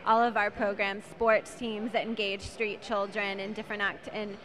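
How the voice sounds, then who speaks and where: A woman's voice: medium pitch, little pitch movement, moderate pace, a crowd in the background. A young American woman in her twenties, a guest on a talk show, speaking fluently and passionately about her charity work. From United States. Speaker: guest, charity executive director. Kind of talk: interview answers.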